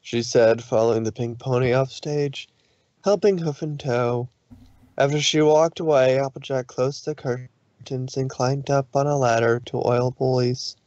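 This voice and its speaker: A man's voice: mid-range voice, steady tone, measured pace, darkish tone, no echo. Guy reading fanfic narration casually, dry sound, kinda dull mic. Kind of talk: live fan fiction reading, narration.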